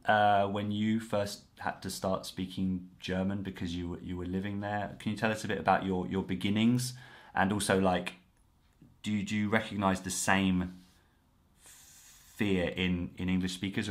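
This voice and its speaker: A man in his thirties hosts a chat over a video call. His voice sits low, and he speaks in a friendly way, restarting his sentences often. The sound is a plain home video-call recording. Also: fairly even intonation, normal speed. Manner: friendly, with frequent fillers and restarts. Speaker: host, French coach. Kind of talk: casual interview chat. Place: room at home, indoors.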